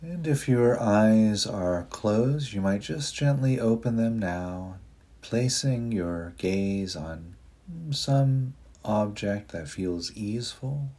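A man leading a guided meditation in a soft, breathy voice, giving gentle, unhurried instructions with long pauses. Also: on the low side, animated.

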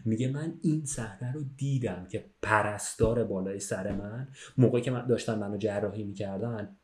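A low-pitched man chats in a roomy, echoing space. He tells stories in a casual, conversational way, often checking that the listener follows. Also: a little mellow. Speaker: podcast speaker telling a story. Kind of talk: casual chat, storytelling.

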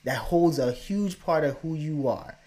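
A man's voice: fairly high pitch, lively intonation, unhurried, a little mellow. A married man talking casually and reflectively, often checking in with "right?" and "you know". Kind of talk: casual conversation.

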